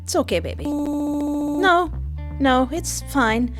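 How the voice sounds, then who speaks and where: A woman hosting a game playthrough, reading narration aloud and reacting casually in a playful, expressive way. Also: high voice, takes it slowly, music in the background.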